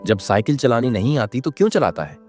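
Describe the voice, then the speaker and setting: A man's voice: medium pitch, very animated, fairly quick, darkish tone, faint music behind. A man voicing an earnest, humble young samosa seller in a plaintive manner for a children's cartoon. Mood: distressed complaining. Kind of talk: Hindi children's moral-story cartoon dub.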